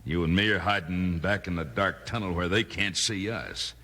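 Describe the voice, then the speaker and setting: Deep, vengeful Western outlaw. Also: male voice, normal speed, pushed and bright, heavily acted. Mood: gloating, scheming. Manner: menacing, folksy frontier talk. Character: vengeful, scheming male outlaw ex-convict in a Western. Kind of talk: 1950s radio Western acting. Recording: noisy old radio recording.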